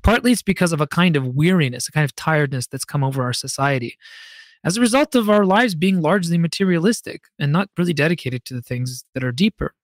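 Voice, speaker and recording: Guy doing a solo podcast essay, slightly high voice, bookish and opinionated, pretty dry close sound. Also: animated, no echo. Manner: literate, opinionated, emphatic with deliberate pauses. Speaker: podcast host.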